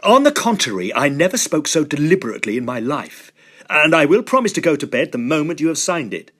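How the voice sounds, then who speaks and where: A man playing a determined, agitated young gentleman in a Victorian horror drama, speaking earnestly and emphatically in formal phrases. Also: a little high, sing-song, a little fast. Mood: grave, resolute.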